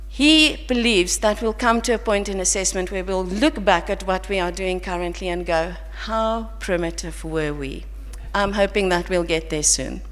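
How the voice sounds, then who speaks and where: A woman's voice: medium pitch, fairly bright tone. A South African woman giving an earnest, reflective academic conference talk at a podium mic, with some restarts. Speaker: conference panel speaker. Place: large conference hall. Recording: long hall reverb.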